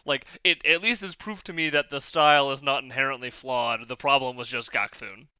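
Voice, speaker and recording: Bright, crisp-voiced man on a dry recording. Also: mid-range voice, steady tone, moderate pace, no room sound, dull audio. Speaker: podcast panelist. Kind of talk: casual podcast discussion.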